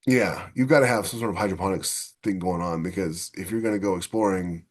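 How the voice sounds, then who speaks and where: A man in casual discussion as a podcast host, somewhat halting as he thinks aloud. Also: low voice.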